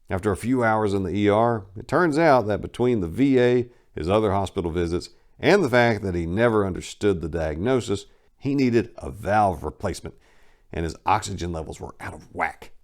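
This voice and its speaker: Deep-voiced man narrating a memoir wryly. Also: darkish tone. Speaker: narrator reading a memoir aloud. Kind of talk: first-person dramatic reading.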